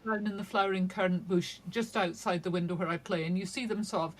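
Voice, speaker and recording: A woman's voice: fairly low pitch, fairly even intonation, quick pace, a little hiss or hum. An older woman from Shetland, a crime novelist being interviewed, chatting in a wry, storytelling way. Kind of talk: casual interview chat.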